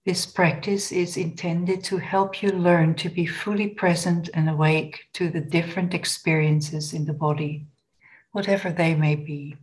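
Slow, low-voiced woman guiding meditation. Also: fairly even intonation, low-quality recording. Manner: gentle, unhurried, instructional. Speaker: meditation guide. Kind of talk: guided body scan meditation.

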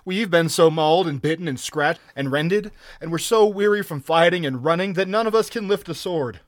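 A weary, mauled pirate, played by a male reader in an adventure story. The voice is pushed up high and whines with fear, begging for mercy. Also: moderate pace, no room sound, clean studio sound, lightly acted. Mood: fearful, whining. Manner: whining, beseeching.

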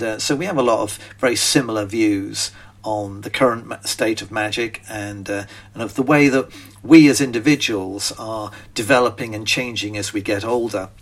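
A man's voice: lowish voice, a bit bright. Older British man, rambling podcast chat. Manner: rambling, chatty, frequent ums and restarts. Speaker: podcast host, magician. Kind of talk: casual spoken monologue.

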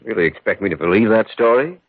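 A man's voice: lowish voice, animated, quick pace, no room sound. An actor playing a skeptical, uneasy man who narrates a horror tale in old radio style. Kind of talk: 1940s-50s radio horror acting. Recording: muffled, noisy old radio broadcast.

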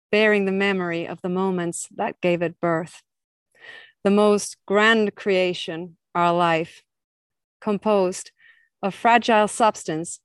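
A woman from Finland, a guest poet who reads her poems slowly and chats with soft laughs. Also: on the low side, clear, resonant tone, no echo, clean studio sound. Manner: slow, measured recitation with soft laughs in between. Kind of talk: reading her poems aloud and chatting.

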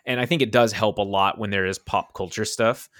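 A man's voice: lowish voice, a little fast, fairly bright tone, no room sound. A man in casual podcast chat, rambling and thinking aloud with a self-deprecating tone.

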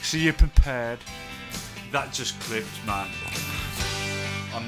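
Guy, mid voice, sweary excited banter, loud rock music under him. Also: medium pitch, takes it slowly. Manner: swearing, laughing, enthusiastic banter. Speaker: podcast co-host. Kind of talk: casual album-review chat. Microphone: home podcast mic.

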